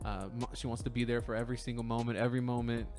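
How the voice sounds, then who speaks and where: A man's voice: mid-range voice, little pitch movement, very fast, a little mellow. A man hosts a podcast with a mid-range voice. He interviews his guest in a friendly, encouraging way. Faint music plays in the background. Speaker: podcast host.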